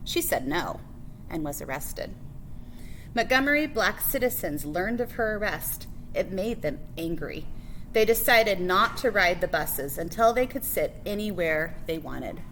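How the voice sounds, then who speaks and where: A woman's voice: fairly low pitch, lively intonation, measured pace, a bit bright, a little hiss or hum, rough recording. A woman reading a children's picture book biography aloud as a librarian, phrase by phrase with emphasis on key words.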